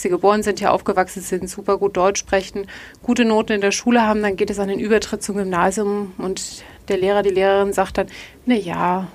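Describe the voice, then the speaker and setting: Engaged woman interviewer. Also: mid-range voice. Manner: engaged, probing, conversational. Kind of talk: conversational interview.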